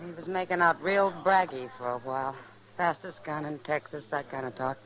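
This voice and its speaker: A woman's voice: sits low, normal speed, natural voice, low-quality recording. An American actress playing a plain-spoken, observant frontier saloon woman in an old radio western, matter-of-fact in delivery. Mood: wary. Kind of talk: 1950s radio western acting. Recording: old radio, crowd noise.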